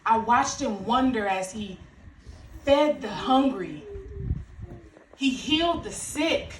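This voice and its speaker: Actress as devout female disciple, stage monologue. Highish pitch, very slow delivery, roomy distant recording with faint noise. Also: lively intonation. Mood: sorrowful. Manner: slow, deliberate, theatrical pauses. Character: devout female follower of Jesus, grieving then triumphant. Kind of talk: dramatic Easter monologue before a congregation.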